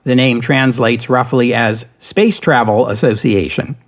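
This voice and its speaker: A man narrating history as a podcast host, in a measured, steady storytelling delivery. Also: mid-range voice.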